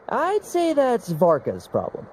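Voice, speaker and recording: A woman's voice: a little high, huge pitch swings, slow pace, a little mellow, a little hiss or hum, full character voice. An actress playing a wry young knight woman in a game, delivering dry, teasing quips. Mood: amused. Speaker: game character.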